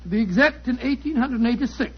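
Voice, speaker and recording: An actor playing a genial, fussy elderly English gentleman in a 1940s radio drama, with a hesitant, bumbling way of talking. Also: very high voice, lively intonation, fast talker, slightly rough, exaggerated voice. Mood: reminiscing. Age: older. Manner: hesitant, bumbling, chuckling old-fashioned delivery. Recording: dull, lo-fi 1940s radio recording.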